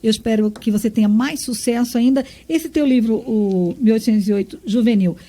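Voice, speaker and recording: A woman's voice: on the high side, fairly quick, warm tone, in a large hall. A Brazilian woman hosting a radio interview, friendly and chatty, thanking her guest. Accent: Brazilian Portuguese. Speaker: radio host.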